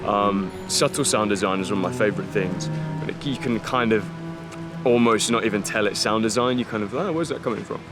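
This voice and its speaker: A man's voice: on the low side, fairly quick, loud music behind the voice. A man vlogging casually to camera, enthusiastic and slangy with lots of fillers. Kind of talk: casual vlog talk to camera. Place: outdoors in a city park.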